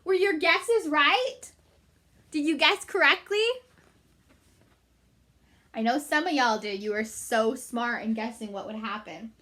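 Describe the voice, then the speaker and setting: A woman storyteller with a high, bright voice. She addresses young listeners in an animated, emphatic read-aloud style with chatty asides. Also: takes it slowly, long pauses. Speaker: storyteller reading to children.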